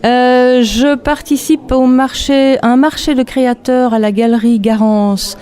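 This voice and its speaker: A woman from Belgium, a textile artist chatting relaxed in an interview, laughing often between hesitant fillers. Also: high voice, measured pace. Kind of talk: casual interview.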